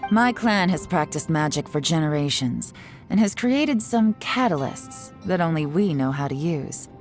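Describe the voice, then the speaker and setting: A cool, shrewd female investigator in a video game. Her voice is low and measured, with a composed, knowing manner, and faint music sits beneath it. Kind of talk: English game dub voice acting.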